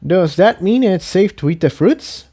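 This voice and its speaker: High, curious female pony, done by a man. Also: animated, unhurried, dark tone. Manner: questioning, wondering.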